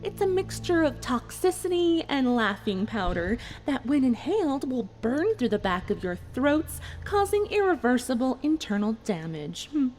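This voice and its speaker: A woman's voice: sits high, sing-song, normal speed, hardly any pauses, a little mellow, rough recording. A woman voicing a coolly sadistic villain pony, mock-polite and taunting in a theatrical fan fiction drama. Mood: smugly sadistic. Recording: music behind the voice.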